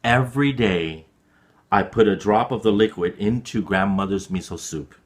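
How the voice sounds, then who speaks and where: A man reading a story dramatically, with deliberate, clearly phrased and expressive delivery for learners. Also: on the low side.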